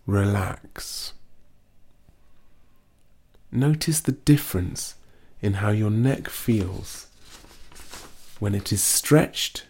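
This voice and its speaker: A man leads a guided muscle relaxation exercise, his voice sitting on the low side. He speaks very slowly and calmly, gently instructing the listener step by step. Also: creaky, slightly rough. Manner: slow, soothing, gently instructive.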